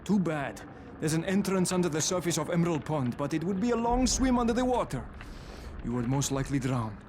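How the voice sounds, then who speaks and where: Guy acting anxious curator in a game, kinda high voice, faint noise. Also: lively intonation, brisk, lightly acted. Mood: wary, dry. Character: nervous, anxious male museum curator. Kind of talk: video game cutscene acting.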